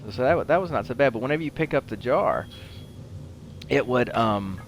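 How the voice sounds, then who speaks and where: A man giving casual commentary as he plays a video game, rambling and halting as he goes. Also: medium pitch, animated, a little hiss or hum. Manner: rambling, halting, talks while playing. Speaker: host of a gaming show.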